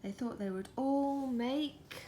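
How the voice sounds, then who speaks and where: A woman with a high, gentle voice reads a children's story at a very slow, soothing ASMR pace. She is hushed and often drops into whispers, cooing as if to a pet. A faint noise sits behind her. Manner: coos softly to a pet. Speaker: storyteller reading a picture book to a cat. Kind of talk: soft ASMR storytime reading.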